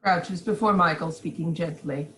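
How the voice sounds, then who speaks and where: A woman's voice: lowish voice, normal speed, fairly bright tone, slight background noise, poor audio. A woman reading stage directions as the narrator in scripted narration.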